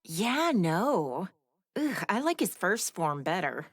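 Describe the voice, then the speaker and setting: A woman's voice: on the low side, sing-song, takes it slowly, no echo, clean studio sound, full character voice. A woman playing a composed, knowing wife of a warrior in a video game, explaining things in a matter-of-fact, slightly dismissive way. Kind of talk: scripted video game character banter.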